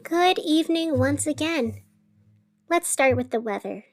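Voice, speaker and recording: A woman's voice: high-pitched, expressive, a little slow, soft background music. A woman playing a chatty, weary radio host of a gloomy fictional city, narrating in a conversational, dramatic, wry way. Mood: wry, downcast. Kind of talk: scripted fiction podcast narration as a radio broadcast.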